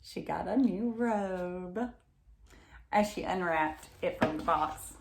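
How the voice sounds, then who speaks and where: A woman's voice: on the low side, slow pace, reverberant, background noise. A woman reading a children's picture book aloud, expressively, with exclamations and chatty questions to her listeners. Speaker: read-aloud storyteller.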